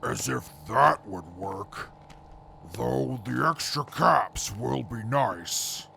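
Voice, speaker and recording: Man as a very gravelly, slow, dry mercenary. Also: mid-range voice, pushed and bright, slight background noise, full character voice. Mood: dry, measured. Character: dry, level-headed wasteland mercenary. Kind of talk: scripted post-apocalyptic radio drama.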